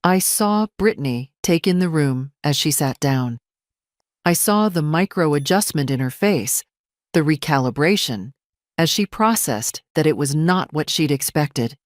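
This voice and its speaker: A woman with a low voice narrates a scripted story. The sound is dry, with hardly any room on it. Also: animated, measured pace, no room sound.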